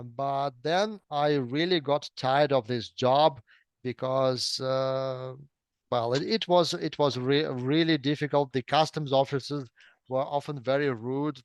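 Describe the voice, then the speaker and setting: Middle aged russian guy w accent chatting in an interview, smooth clear voice, dry sound, bit hesitant. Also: medium pitch, fairly even intonation, measured pace, no room sound. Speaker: interview guest, simultaneous interpreter. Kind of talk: conversational interview answers about own career.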